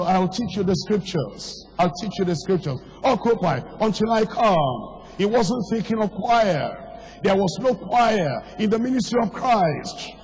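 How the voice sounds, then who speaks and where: Hoarse older preacher, high-pitched, in echoing hall. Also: male voice, darkish tone. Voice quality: strained, worn voice. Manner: exhorting, repeating phrases, rhetorical questions. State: hoarse, voice going. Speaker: pastor. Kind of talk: impassioned evangelistic sermon. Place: large hall, preaching to a congregation. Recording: long echoing reverb of a large hall.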